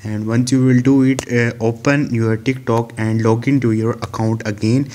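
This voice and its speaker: A man's voice: mid-range voice, level tone, moderate pace, a little mellow. Man narrating a step-by-step tutorial. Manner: instructional, step-by-step. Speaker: tutorial host. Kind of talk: screen-recorded how-to tutorial narration.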